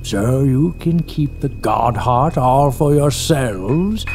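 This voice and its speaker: A man's voice: medium pitch, slow pace. An actor playing a paranoid, greedy old male archaeologist who rants and sneers, with music behind. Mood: paranoid gloating. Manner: ranting, sneering, bursts into shouting. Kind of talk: audio drama acting.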